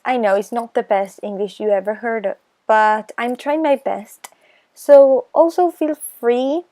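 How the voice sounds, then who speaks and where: Slow, hesitant Argentinian teen girl. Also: on the high side, dark tone. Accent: Argentinian Spanish. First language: Spanish. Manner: slow, hesitant, self-conscious, many pauses. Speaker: booktuber. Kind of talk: casual voice-over vlog.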